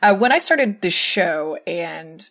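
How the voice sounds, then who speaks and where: A woman's voice: fairly low pitch, expressive, takes it slowly, vocal fry, fairly bright tone. A woman speaking casually in an interview as an audio drama director.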